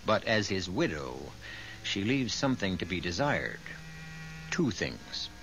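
A man acting as a wry storyteller host, narrating a 1970s radio drama in a measured way. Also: sits low, sing-song, fairly bright tone, a little hiss or hum, heavily acted, low-quality recording. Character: wry male storyteller host of a mystery play. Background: prominent music.

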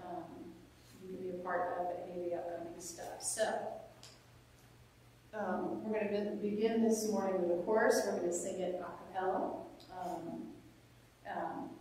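A woman's voice: lowish voice, a little mellow, slight background noise. A middle-aged woman leading a church service, talking informally with fillers and praying aloud. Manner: informal and chatty with fillers between announcements. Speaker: worship leader. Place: large church sanctuary, indoors. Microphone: far camera at the back of the hall. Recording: echoey church hall from far off.

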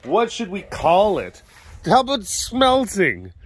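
A man with a slightly hoarse voice rambles casually while filming outdoors at animal enclosures, talking softly to the animals between thoughts. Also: sits high, huge pitch swings, takes it slowly. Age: adult, getting older. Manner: rambling and chatty, softening into baby talk with the animals. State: slightly hoarse, partly lost voice. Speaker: big cat sanctuary keeper and vlogger. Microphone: handheld camera mic, speaker off camera.

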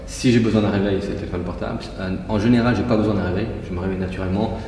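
Man in his forties, low voice in a booming gym. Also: fairly even intonation, racing, dark tone. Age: 40s. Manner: methodical, talks it through step by step. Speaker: guest, gym entrepreneur. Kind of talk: casual interview conversation. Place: large indoor gym hall. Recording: echoey gym hall from far off.

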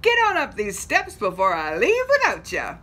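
A woman's voice: extremely high pitch, big pitch swings, slow, voice pushed up, exaggerated voice. A woman doing a chirpy, can-do bus driver lady. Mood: hollering. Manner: bright, eager and emphatic.

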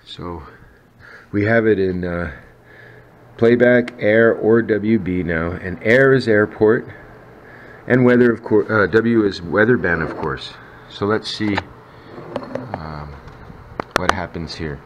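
A man's voice: lowish voice, slow pace. A man giving a casual, unscripted demonstration as a hobbyist, explaining things in a matter-of-fact way in a kitchen. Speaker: radio hobbyist demonstrating a vintage set. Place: kitchen indoors. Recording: dull sound with faint background noise.

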